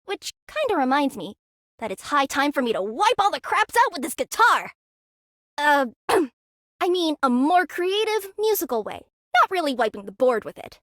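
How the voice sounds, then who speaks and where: A woman's voice: high-pitched, moderate pace, no echo, clean studio sound. A woman voicing a brash, passionate young rock guitarist in a video game, with dramatic delivery. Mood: fired up. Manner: animated, dramatic. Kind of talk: video game character voice lines.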